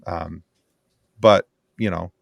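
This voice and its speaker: Gravelly, lower-voiced man talking casually. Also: fairly even intonation, slow pace, no room sound. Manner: rambling, frequent "you know". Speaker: podcast panelist. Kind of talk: casual movie discussion.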